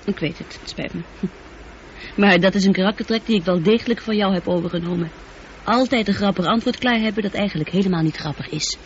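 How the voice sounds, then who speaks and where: An actress the playing a highly intelligent, humanlike female spaceship computer in a radio play, speaking in measured, articulate sentences. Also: natural voice. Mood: teasing, amused. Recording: dull noisy old radio play recording.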